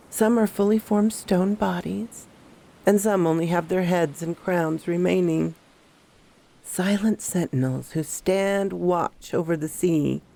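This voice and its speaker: A woman playing a troubled female park ranger who recounts her horror story in a measured, confiding first-person narration. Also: on the low side, gravelly, dark tone, full character voice. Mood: unsettled, reflective.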